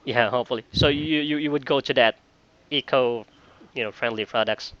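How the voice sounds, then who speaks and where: A man talking casually in a job interview call as a virtual assistant, halting and repeating phrases with frequent filler phrases. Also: mid-range voice, a bit bright, lo-fi sound, slight background noise. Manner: halting, repeats phrases, often says "you know". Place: online call.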